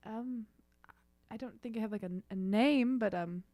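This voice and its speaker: A woman's voice: a little high, moderate pace, dark tone. A woman chatting casually as a player in a tabletop role-playing game.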